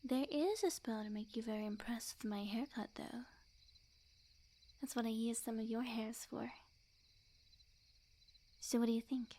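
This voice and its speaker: A woman voicing a sweet, obsessively lovestruck, smug young witch in an intimate ASMR roleplay, speaking in a soft breathy near-whisper with quiet menace. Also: on the high side, measured pace, pauses often. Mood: playful, coaxing. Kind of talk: ASMR roleplay, intimate scripted monologue to the listener.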